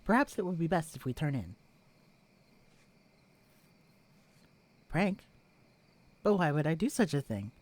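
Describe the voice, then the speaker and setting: A man's voice: a little high, big pitch swings, brisk, voice pushed up. A man voicing a stiff, formal alien posing as a husband, with stilted, pompous, overly proper diction in a scripted audio roleplay. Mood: indignant, insistent.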